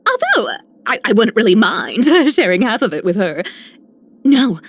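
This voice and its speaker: A woman's voice: fairly high pitch, big pitch swings, a little slow, husky, no echo, full character voice. A woman voicing a playful, coy young treasure-loving thief in a video game, with a teasing manner. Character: playful, greedy but generous young thief girl. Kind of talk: video game character voice lines.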